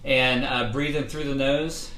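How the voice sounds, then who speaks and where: Male vocal coach, mid pitch, casual livestream lesson full of fillers, roomy echo. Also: a little slow, a little hiss or hum. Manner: relaxed, conversational, many fillers. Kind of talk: casual instructional talk. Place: indoors, livestream setup.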